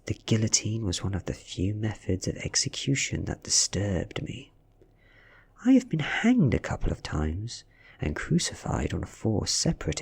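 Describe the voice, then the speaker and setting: A man with a British accent softly reads a story in ASMR style, breathy and often slipping into a whisper, with measured, dramatic delivery. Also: low-pitched. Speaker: ASMR reader. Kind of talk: soft-spoken ASMR audiobook reading.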